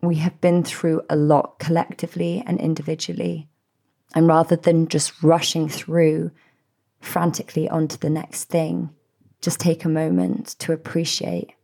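Soothing low-voiced woman, gravelly edges. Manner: gentle, soothing, heartfelt. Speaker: podcast host. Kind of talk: reflective solo podcast address.

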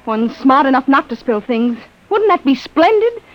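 An actress playing a fussing, hospitable middle-aged housewife, by turns scolding and solicitous, in a vintage radio drama. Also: female voice, high voice, very animated, normal speed. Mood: nagging, hospitable. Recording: muffled, noisy vintage radio recording.

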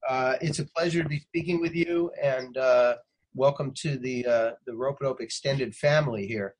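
A man hosting a casual interview, feeling his way into questions haltingly and repeating words. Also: mid-range voice, poor audio. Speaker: interviewer, host. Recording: faint background noise.

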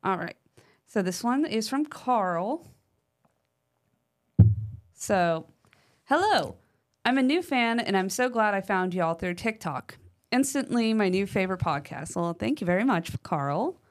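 A woman co-hosting a podcast talks in a relaxed, chatty way, sprinkling in "like" and "um". She reads listener mail aloud with lively emphasis and keeps an everyday, mid-height voice. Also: medium pitch, a little slow, long pauses. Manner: chatty, uses fillers like "like" and "um". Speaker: podcast co-host.

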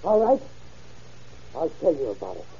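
A man acting an agitated, evasive, jealous murder suspect in a 1930s radio drama, excitable and stammering in his protests. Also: fairly high pitch, moderate pace, breathy edge, exaggerated voice. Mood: reluctant confessing. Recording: muffled old radio recording.

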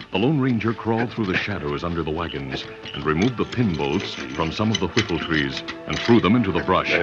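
A man narrating a scripted old-time radio western adventure in a dramatic announcer's delivery. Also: low-pitched. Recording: dull old radio recording with music.

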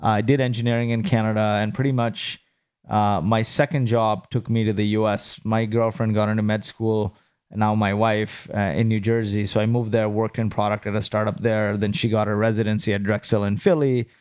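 A man from Kuwait, a startup founder, answering interview questions in a brisk, businesslike way with frequent rhetorical questions. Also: lowish voice, level tone, a little mellow, smooth.

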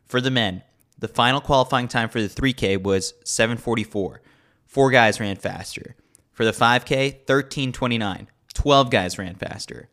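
A man's voice: medium pitch, moderate pace, fairly bright tone. A man reading a scripted sports newsletter as a podcast host, with a wry, tongue-in-cheek delivery. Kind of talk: scripted narration, sports newsletter read aloud.

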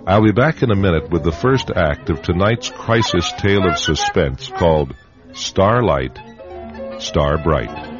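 Deep-voiced dramatic radio narrator man. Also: expressive. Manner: dramatic, measured storytelling. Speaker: host and narrator. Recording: dull radio recording over music.

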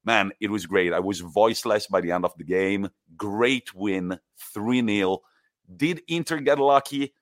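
A young man talks football in a low, deep voice and tells stories with emphatic, lively energy. His voice sits very low, and the sound is dry and close, with no room around it. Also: a little slow, no echo. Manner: animated, emphatic storytelling. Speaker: podcast co-host. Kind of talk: casual football chat.

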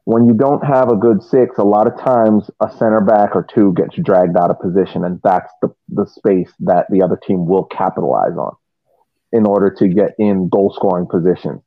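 A low-voiced man gives casual tactical analysis on a sports podcast. He explains things in measured phrases, and the sound is thin and phone-like, with no room around it. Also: steady tone, no echo. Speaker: soccer podcast co-host. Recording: dry, tinny phone-like line.

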